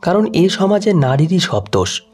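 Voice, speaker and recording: A man's voice: sits high, very animated, unhurried, darkish tone. A man reading scripted narration for an emotional audio story.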